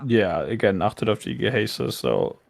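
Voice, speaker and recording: A man's voice: fairly low pitch, big pitch swings, normal speed, gravelly, a crowd in the background. A man translating and commenting in a casual chat as co-host. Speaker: co-host translating game voice lines.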